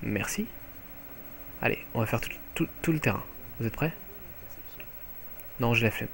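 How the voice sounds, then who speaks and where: A young man with a French accent giving live commentary on his own online match from home, excitable and jokey, swearing often. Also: medium pitch, moderate pace, creaky, a little hiss or hum. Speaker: gaming commentator. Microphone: headset mic.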